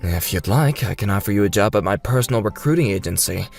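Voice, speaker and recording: Formal low-voiced male recruiter acted by a man. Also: animated, vocal fry, darkish tone. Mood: persuasive. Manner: formal, measured. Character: smooth, businesslike male corporate recruiter. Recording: music bed behind the voice.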